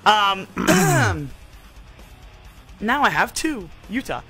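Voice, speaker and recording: Bright, giggly woman co-host. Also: mid-range voice, big pitch swings, drawn-out words, husky, faint music behind. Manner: giggly, teasing, blunt. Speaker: podcast co-host. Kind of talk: casual chat.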